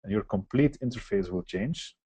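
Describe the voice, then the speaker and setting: Man for a webinar software walkthrough; explanatory, mellow tone, dry close sound. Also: lowish voice, lively intonation, moderate pace, husky, no room sound. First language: Dutch. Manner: explanatory, walks through on-screen steps. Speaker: software presenter. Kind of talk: live software demo webinar.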